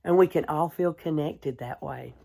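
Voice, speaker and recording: A woman, a kindergarten teacher, reading a storybook aloud to young children in a gentle, expressive way. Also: low-pitched, creaky. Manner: gentle, hushed, expressive storytelling with deliberate pauses; addresses young listeners with simple questions.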